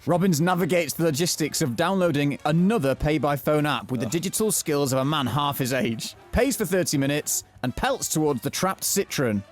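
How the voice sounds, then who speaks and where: A man narrating a mock-thriller audio drama from a script, telling it in a florid, deadpan way. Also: fairly high pitch, moderate pace, hardly any pauses, soft background music.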